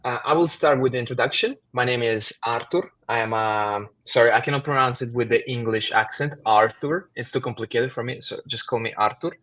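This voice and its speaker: A man from Ukraine co-hosting a live online webinar. He admits he is nervous and talks haltingly, with restarts, over a computer mic. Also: mid-range voice, fairly bright tone. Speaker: webinar co-host. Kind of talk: live webinar, casual opening chat.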